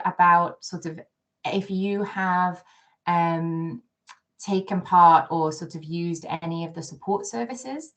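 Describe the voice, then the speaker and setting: A woman hosting an informal online session, speaking in a hesitant, loosely phrased way. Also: on the low side. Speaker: university host moderating a session.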